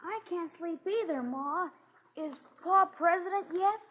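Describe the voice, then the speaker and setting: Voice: extremely high pitch, measured pace. A performer playing a whiny small boy calling to his mother in a 1940s radio drama. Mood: sleepy, curious. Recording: dull, noisy 1940s radio recording.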